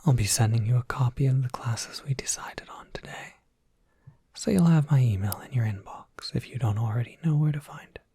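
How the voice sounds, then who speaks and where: A person voicing a courteous Cerberus professor in a whispered ASMR roleplay, polite, gently scholarly and prone to rambling, with a soft breathy whisper. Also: mid-range voice, brisk, no echo. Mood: courteous, welcoming.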